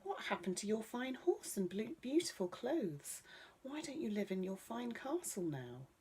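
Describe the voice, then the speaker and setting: Woman as a plucky, teasing young shepherdess, pitched up. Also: sing-song, unhurried, a little mellow, slight background noise. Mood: curious. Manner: teasing, unimpressed. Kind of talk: bedtime storybook character reading.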